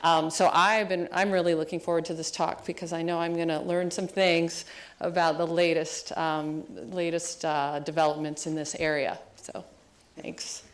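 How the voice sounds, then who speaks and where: A woman introducing a speaker at a lecture, halting with many fillers. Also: low-pitched, flat delivery. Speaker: program co-director introducing the speaker.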